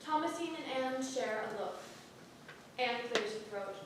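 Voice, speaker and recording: High-pitched woman narrator, very echoey hall. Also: animated, a little slow. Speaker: narrator reading stage directions. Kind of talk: scripted stage narration. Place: theatre stage, recorded from the audience. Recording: echoey hall, distant mic, faint noise.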